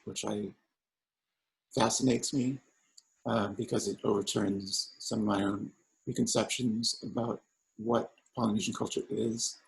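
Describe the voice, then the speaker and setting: A man who grew up speaking Samoan, an artist answering panel questions in a halting way with many fillers and restarts. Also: lowish voice, gravelly, poor audio.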